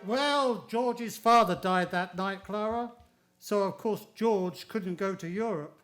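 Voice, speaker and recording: An actor playing a genial angel who narrates a man's life in a radio play, in measured storytelling style. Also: high voice, fairly bright tone. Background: music.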